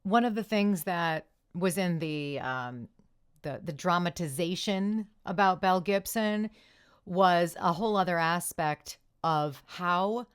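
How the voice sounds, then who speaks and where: A woman's voice: fairly low pitch, slow, clear, resonant tone. A woman hosting a podcast who speaks reflectively and answers her guest with supportive agreement. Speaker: podcast host. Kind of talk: casual podcast conversation.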